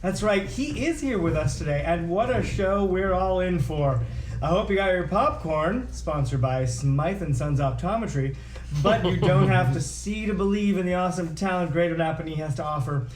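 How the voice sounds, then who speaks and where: Man acting high-voiced folksy radio emcee. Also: animated, normal speed. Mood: showy, upbeat. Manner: showy, hammy announcer patter. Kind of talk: announcing at a comedic script table read. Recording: roomy echo, noticeable background noise.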